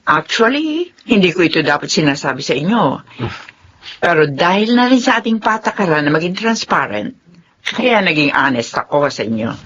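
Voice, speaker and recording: A woman's voice: fairly low pitch, sing-song, moderate pace, fairly bright tone, breathy edge, lo-fi sound, full character voice. A woman playing a polite, dutiful office boss with something to hide in a Tagalog drama. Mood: official, measured. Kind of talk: Tagalog radio-style drama acting.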